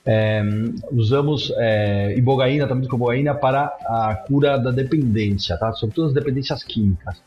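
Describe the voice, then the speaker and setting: A man with a mid-range voice talks in an animated, restarting way, often ending points with a quick check to the listener. His sound is roomy and dull, with faint music behind him. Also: medium pitch. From São Paulo, Brazil. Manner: animated, frequent restarts and "tá?" tags. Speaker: guest, psychedelic clinic CEO. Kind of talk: conversational interview answers. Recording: roomy, dull remote audio.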